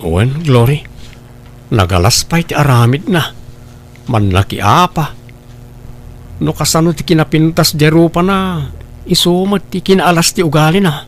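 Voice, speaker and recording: A man's voice: a little high, a little slow, long pauses, slight background noise, natural voice. A man with a Filipino accent playing a regretful young husband, plaintive and complaining, in a comedy drama. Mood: regretful, pleading.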